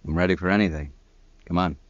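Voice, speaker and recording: An actor playing a proud, wealthy Old West gambler, self-assured and plainspoken, in an old-time radio western. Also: male voice, low-pitched, quick pace, natural voice. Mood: indignant, determined. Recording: dull vintage radio recording.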